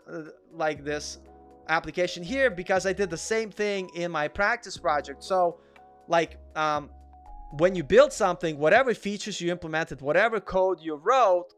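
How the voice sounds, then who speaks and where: A man's voice: sits high, a bit bright. A man from Russia with a slight Russian accent, hosting a casual live Q&A and chatting with viewers. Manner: chatty, reads out chat comments, repeats himself. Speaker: livestream host, developer.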